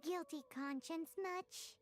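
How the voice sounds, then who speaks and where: A woman voicing a tiny, childlike fairy companion in a video game, squeaky, blunt and excitable. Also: slow, background noise. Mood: cheeky. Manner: puzzled, exclamatory, cheeky.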